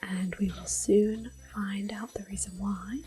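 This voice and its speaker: A woman giving soft-spoken, gentle ASMR commentary over a video game, in a breathy whisper. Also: mid-range voice, little pitch movement, soft background music. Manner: gentle, unhurried. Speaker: ASMR gameplay host.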